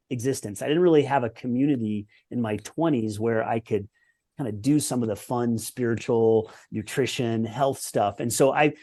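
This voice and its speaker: A man's voice: medium pitch, mellow. A man in his forties from the United States, a former pro tennis player, telling his story as a podcast guest in an earnest, reflective way. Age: 40s. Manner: reflective, earnest, with lists and restarts. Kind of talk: conversational interview storytelling.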